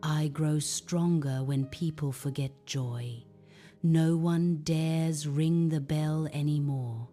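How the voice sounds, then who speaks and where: A woman's voice: sits low, fairly even intonation, slow pace, warm tone, soft background music, voice pushed down. A woman voicing a menacing, hissing shadow creature that guards silence in a children's storybook.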